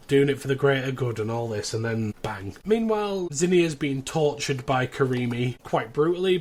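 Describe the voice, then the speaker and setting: A British man hosting a podcast, casually recapping a plot in a chatty way with wry asides. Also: medium pitch. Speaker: podcast host.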